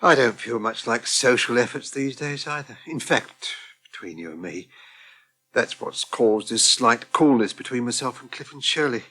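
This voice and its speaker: A man's voice: mid-range voice, expressive, normal speed, sharp tone. An actor playing a kindly, lonely older Englishman in a radio soap, genteel, polite and solicitous. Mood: confiding, tentative. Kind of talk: British radio soap acting.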